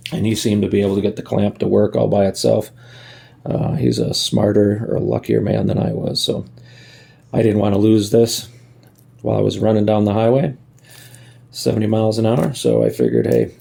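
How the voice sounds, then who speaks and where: A man's voice: fairly low pitch, creaky, mellow. A man giving a casual hands-on tutorial in a folksy way, thinking aloud as he works, recorded on a phone. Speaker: amateur DIY video maker.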